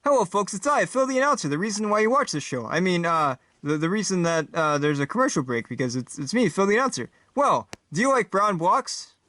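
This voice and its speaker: A man voicing a vain, eager announcer making a spoof commercial pitch. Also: sing-song, a little fast, few pauses, bright, forceful tone, no room sound, exaggerated voice. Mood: vain, salesy.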